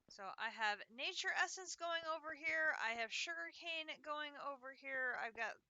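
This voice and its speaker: A woman's voice: sits high, expressive, moderate pace, pushed and bright, a little hiss or hum. A congested woman streamer casually walking viewers through her game progress. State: congested from allergies. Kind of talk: casual live game commentary.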